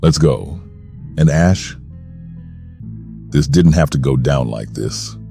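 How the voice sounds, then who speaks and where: A man's voice: extremely low pitch, slow, gravelly, warm tone, faint music behind, full character voice. A man playing a menacing, ruthless armed villain in an audio drama, narrating coldly and snarling his commands. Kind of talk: first-person audio drama narration with dialogue.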